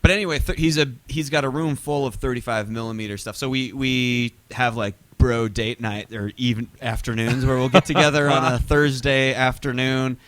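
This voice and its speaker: A man's voice: medium pitch, fairly even intonation, a bit bright, dry recording. A man chatting casually as a musician guest, telling rambling, slangy anecdotes that he often interrupts himself. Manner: halting, self-interrupting, slangy.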